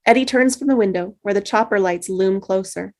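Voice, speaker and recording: A woman's voice: medium pitch, brisk. Woman reading stage directions.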